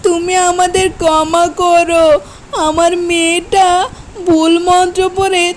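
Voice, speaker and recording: A woman's voice: very high-pitched, little pitch movement, a little slow, slight background noise. A woman voicing an anxious, protective mother fox in a children's cartoon, with a plaintive, imploring manner. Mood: pleading.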